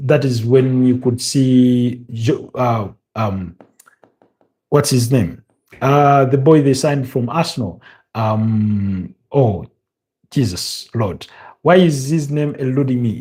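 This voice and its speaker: A man from Uganda with a Ugandan accent, hosting a casual football preview stream and talking in a halting way, full of fillers and restarts. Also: medium pitch, dark tone.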